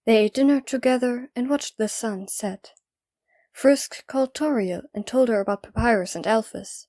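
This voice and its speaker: A woman's voice: on the high side, moderate pace, dark tone, no echo. A woman narrator reading scripted story narration for an audio drama.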